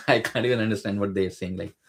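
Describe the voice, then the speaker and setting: Man, lower register, hesitant conversational explainer. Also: steady tone, fast. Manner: hesitant, many fillers and restarts. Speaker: guest sharing exam experience.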